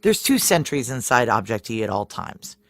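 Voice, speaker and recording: A woman's voice: extremely low pitch, big pitch swings, moderate pace, fairly bright tone, no room sound, studio quality, heavily acted. An actress playing a tense, hard-boiled female security chief who narrates her inner thoughts in a noir audio drama, terse, wry and confiding. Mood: firm, tense.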